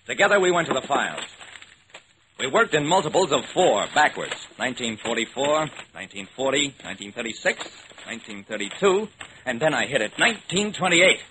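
Casting: male actor as level-headed, wry investigator narrating vintage radio mystery, clipped delivery, animated pitch, noisy old recording. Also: brisk, natural voice. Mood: brisk, intent.

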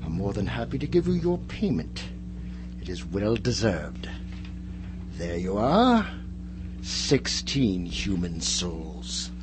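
Man playing a low, gloating fox demon. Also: wildly swooping pitch, takes it slowly, noisy background, full character voice. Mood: smugly gracious. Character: gloating fox demon master, courteous and satisfied. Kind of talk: radio horror drama acting.

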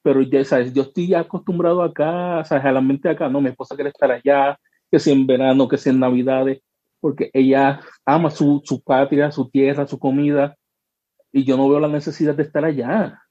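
A man from Puerto Rico speaks with a Puerto Rican Spanish accent, telling a personal story in an easy, relaxed way. His mellow voice comes over dry, dull video-call audio. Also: mid-range voice, normal speed, dry recording. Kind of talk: casual panel chat.

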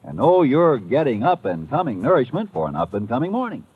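A man's voice: mid-range voice, wildly swooping pitch, fairly quick, husky. An American man delivering a folksy, emphatic hard-sell commercial in 1950s radio style. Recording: very muffled old radio recording.

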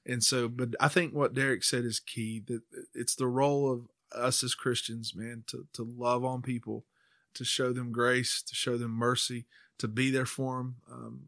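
A man's voice: mid-range voice, level tone, normal speed, a bit bright, no room sound, clean studio sound. A man with an American accent hosting a faith podcast, talking in an informal, conversational way. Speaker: podcast host; church member speaking on faith. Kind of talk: casual discussion.

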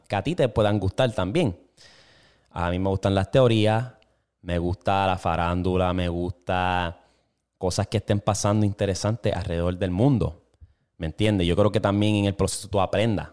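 Puerto Rican man, young, casual podcast host, slangy and easygoing, lowish pitch. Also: moderate pace, darkish tone, clear, resonant tone. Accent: Puerto Rican Spanish. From Puerto Rico. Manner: slangy, laid-back, swearing freely. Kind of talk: casual solo podcast chat. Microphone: single camera with mic.

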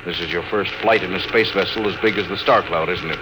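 An actor playing a seasoned, fatherly spaceship captain in an old-time radio drama, steady and in command. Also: low-pitched, quick pace, natural voice. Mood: reflective. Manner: clipped orders, reflective hesitations. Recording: noisy vintage radio drama recording.

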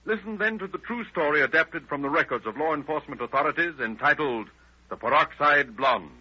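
A man's voice: on the high side, brisk, bright, forceful tone. A man narrating an old 1930s radio crime show in a formal, grave, declamatory way. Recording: dull old radio recording.

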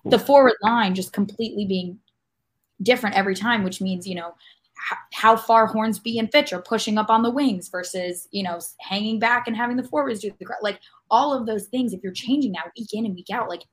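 A woman's voice: medium pitch, brisk. A woman panelist in a casual sports discussion, analytical and articulate, speaking in long winding sentences. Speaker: podcast panelist.

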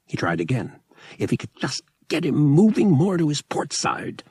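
Male fiction narrator: expressive, dramatic reading, husky tone, very dry close sound. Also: on the high side, moderate pace, no room sound. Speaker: audiobook narrator.

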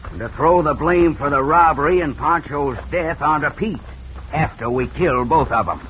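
Radio western villain male actor: American, scheming gang leader, terse delivery, dull recording with clear hiss. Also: a little high, moderate pace, lightly acted. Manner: curt, bullying. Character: scheming, hard-boiled outlaw gang leader. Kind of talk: old-time radio western acting. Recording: muffled, noisy old radio recording.

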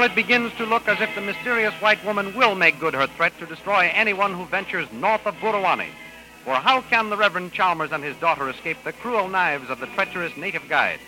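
High, piercing old-time radio announcer man. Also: sharp tone, poor audio. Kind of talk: dramatic 1930s radio serial narration. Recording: vintage radio recording.

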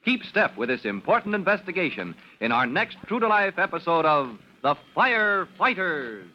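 A man's voice: high voice, sing-song, moderate pace. A man announcing in old-time radio style, with a dramatic, polished delivery. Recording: dull, hissy old radio recording.

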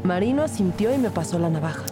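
Actress as firm female detective, low voice, composed narration, crime audio drama, prominent music underneath. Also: animated, quick pace, lightly acted. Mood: grave narration. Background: music bed.

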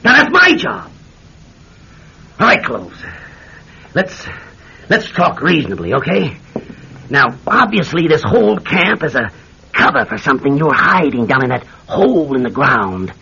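An actor playing a pompous, bullying senior senator with a raspy, blustering delivery in a classic radio drama. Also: male voice, fairly high pitch, wildly swooping pitch, a little slow, pauses often, bright, forceful tone, full character voice. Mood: indignant, threatening. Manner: blustering, imperious. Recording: dull old radio drama recording.

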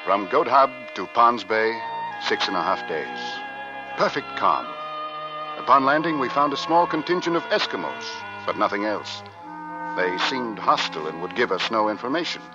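An actor playing a dutiful ship's navigator narrating an Arctic voyage in formal, dramatic old-time radio style. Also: male voice, fairly low pitch, big pitch swings, normal speed. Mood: grave, measured. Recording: dull vintage radio recording with music.

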